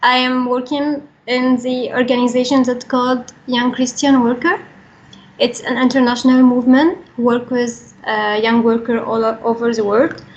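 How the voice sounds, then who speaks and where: Young female speaker, Egyptian accent, higher register, reading a statement carefully and earnestly, slightly dull sound. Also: little pitch movement, normal speed. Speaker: regional coordinator for a young workers' organisation. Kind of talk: prepared talk, reading statement. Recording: dull, band-limited recording.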